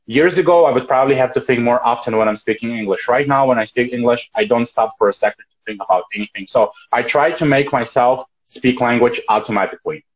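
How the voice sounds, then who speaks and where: A man's voice: medium pitch, fast talker, hardly any pauses. A man whose first language is Russian, answering interview questions in English, fluent and thoughtful with a few restarts. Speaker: guest. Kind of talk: casual interview. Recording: dull lo-fi sound.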